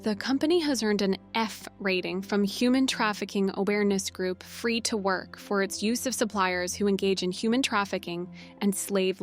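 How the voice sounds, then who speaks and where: A woman giving a scripted, factual read-aloud of a news article. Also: mid-range voice. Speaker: reader of quoted journalism.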